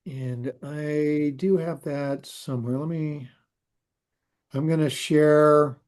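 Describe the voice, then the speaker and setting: Rate consultant, man, slightly high voice, slow and halting delivery, dialing in by video call. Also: steady tone, darkish tone. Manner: hesitant, full of restarts and fillers. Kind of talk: presenting to a council. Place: remote, joining by video call.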